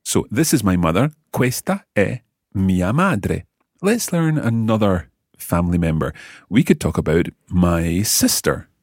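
A man hosting a spoken language lesson, prompting a learner and pausing for listeners in a slow, patient way. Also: low voice, very animated, darkish tone, no room sound, clean studio sound. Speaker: language course host.

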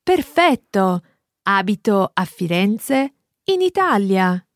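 A woman tutoring a language lesson, modelling phrases slowly and carefully and praising the learner. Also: mid-range voice, big pitch swings, dry recording, clean studio sound. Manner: slow, careful, encouraging pronunciation. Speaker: native-speaker language tutor.

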